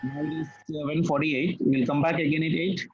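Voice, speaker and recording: A man's voice: a little high, flat delivery, fairly quick, dull audio, slight background noise. A man tutoring physics, patient, repeating key phrases and checking that his student follows. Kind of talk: one-on-one tutoring session.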